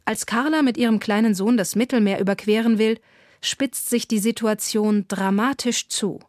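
A woman's voice: a little high, normal speed, darkish tone, no room sound, studio quality. A woman announcer reading a scripted synopsis for a radio drama.

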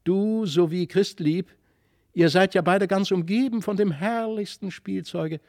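A sweet magical child from a fairy tale, performed by a male reader. It speaks gently and playfully, encouraging and kindly, in a soft, mellow voice with a dry, close sound. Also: high-pitched, a little slow, dry recording, pitched above the performer's own voice. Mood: amused.

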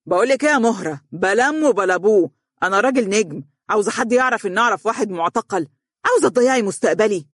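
Selfish Egyptian husband, voiced by a female narrator: irritable, scornful, husky, dry audiobook sound. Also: fairly low pitch, very fast, dark tone, dry recording. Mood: scornful anger. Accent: Egyptian Arabic. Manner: scornful, impatient.

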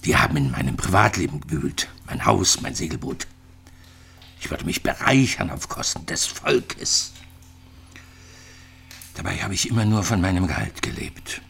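A man's voice: low voice, expressive, normal speed, vocal fry, pauses often, a bit bright, heavily acted. An actor in a radio drama playing a bitter, lonely retired East German physicist in his sixties, reflecting in clipped phrases. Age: 60s.

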